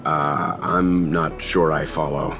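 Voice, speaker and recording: A man playing a calm, analytical, courteous investigator in a video game, matter-of-fact in his delivery. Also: very deep voice, takes it slowly, over music. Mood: inquiring.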